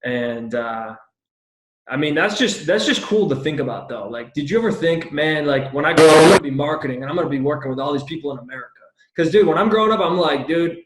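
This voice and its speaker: A man's voice: fairly high pitch, expressive, fast talker, reverberant, low-quality recording. A man hosting a casual podcast interview, enthusiastic and slangy, calling his guest "dude" and "bro". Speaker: podcast host.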